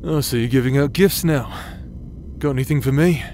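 A man's voice: medium pitch, normal speed, a little mellow, slight background noise, natural voice. A man playing a cocky, foul-mouthed young rogue in a fantasy audio drama, with a casual, sardonic way of talking. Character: cocky, foul-mouthed, teasing brother hiding vulnerability. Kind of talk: anime fantasy audio roleplay acting.

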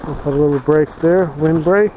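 A man talking in a plain, unhurried, folksy way while showing his camp in the snowy woods, recorded on a wearable camera. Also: fairly high pitch, highs cut off, slight background noise. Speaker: bushcraft vlogger. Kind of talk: casual outdoor narration. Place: snowy forest outdoors.

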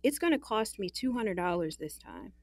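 A woman's voice: medium pitch, very animated, normal speed, dry recording. An actress playing a guarded, exasperated young working woman in an audio drama, frank and wry.

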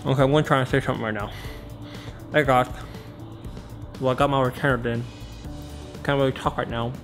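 A man's voice: medium pitch, fairly even intonation, a little fast, soft background music. A young man vlogging casually into a handheld camera in his apartment, playful and goofy. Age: young adult. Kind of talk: casual vlog talk. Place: apartment indoors. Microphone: handheld camera at arm's length.

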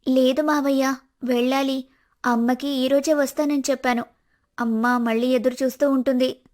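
A woman voicing a polite but self-willed young daughter-in-law in an animated family story, pleading and insistent. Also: high voice, moderate pace, close to an everyday voice. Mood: politely insistent. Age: young adult.

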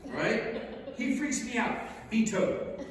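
A man's voice: very high voice, animated, takes it slowly, a crowd in the background. A middle-aged man reading a prepared speech in an emphatic, oratorical way. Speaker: stage reader. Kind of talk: prepared speech read from a podium. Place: large indoor theater stage. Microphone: far camera. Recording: very echoey theater hall, distant mic, hiss.

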